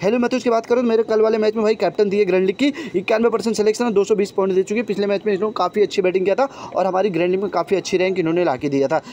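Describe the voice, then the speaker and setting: A young man speaks extremely fast in a high, mellow voice. He chats informally to viewers as a fantasy sports tipster, addressing them like brothers. There is clear noise behind his voice-over. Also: steady tone. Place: voice-over on a phone screen recording.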